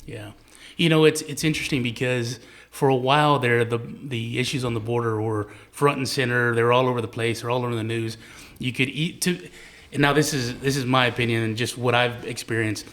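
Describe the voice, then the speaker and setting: A man's voice: mid-range voice, steady tone, brisk, fairly bright tone. A man hosting a podcast in a casual, reflective, somewhat tentative conversational way. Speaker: podcast host.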